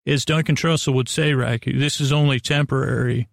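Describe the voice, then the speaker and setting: A man hosting a podcast with a silly improvised monologue to an imaginary guest, halting and stammering as he meanders and restarts in mock earnest. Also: mid-range voice, fairly even intonation, vocal fry, dry recording, clean studio sound. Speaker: podcast host.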